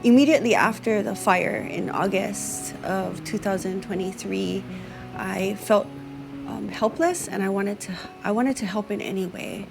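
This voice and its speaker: A woman's voice: mid-range voice, unhurried, vocal fry, over music. Reflective Hawaiian woman mixing in island words. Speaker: music teacher and workshop leader. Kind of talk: documentary interview.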